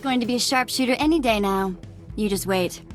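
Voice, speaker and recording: A woman's voice: a little high, brisk, faint music behind, lightly acted. An actress playing a breezy, cheeky young female sidekick in a video game, casual and playful. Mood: proud, playful. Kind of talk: video game dialogue acting.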